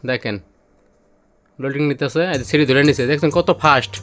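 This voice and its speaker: A man's voice: mid-range voice, fairly even intonation, brisk, fairly bright tone. A man presenting goods for sale in an informal demonstration video, with repetitive patter that keeps urging viewers to look. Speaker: seller presenting used car stereo gear.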